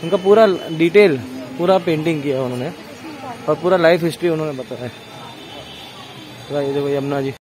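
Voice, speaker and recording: A man's voice: a little high, a little fast, long pauses. A man vlogging a walking tour outdoors at a marble temple, explaining the wall paintings in a plain, casual way. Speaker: travel vlogger. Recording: noisy outdoor phone recording.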